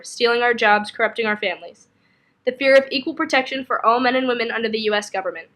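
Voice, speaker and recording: A woman with a fairly high voice recites spoken word poetry. Her delivery is dramatic and emphatic, shaping each phrase deliberately in a slam style. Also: a bit bright.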